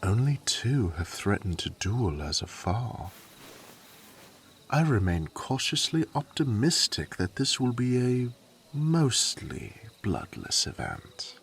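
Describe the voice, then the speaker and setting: Casting: actor as a deep-voiced, slow-talking loyal courtier for fantasy audio drama. Theatrical, silver-tongued, dryly teasing. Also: male voice, very animated, creaky, fairly bright tone, a little hiss or hum, heavily acted. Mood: smooth flattery.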